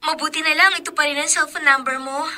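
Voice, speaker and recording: An actress playing a wistful old friend from the home village in a radio drama. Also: female voice, high voice, steady tone, quick pace, sharp tone.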